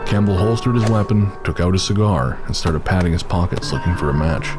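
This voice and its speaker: A man's voice: low-pitched, a little fast, over loud music. A man narrating a western horror story in a dramatic, scripted audio drama style.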